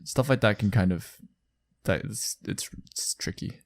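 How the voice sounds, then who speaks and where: A young man with a fairly low voice that projects, recorded dry with little room sound. He chats casually as a podcast co-host, often questioning informally. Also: a little slow, creaky, no room sound. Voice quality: loud, projecting voice. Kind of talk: casual chat.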